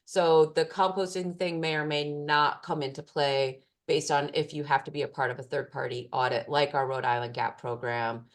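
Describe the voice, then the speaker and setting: A woman's voice: deep voice, little pitch movement, moderate pace, hardly any pauses. A woman, a food safety specialist, answering participants' questions in an online class in a casual way with many fillers.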